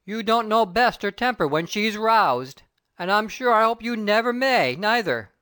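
A woman voicing a sentimental male burglar, talking loudly. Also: mid-range voice, expressive, measured pace, dry recording, studio quality, pitched above the performer's own voice. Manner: loud, rough working-class speech.

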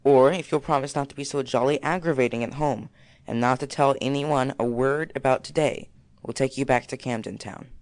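A woman's voice: rumbling low, normal speed, darkish tone, no room sound, voice pushed down. A woman voicing a frank, bossy schoolboy in a storybook, in a matter-of-fact, clipped manner. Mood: brisk, frank.

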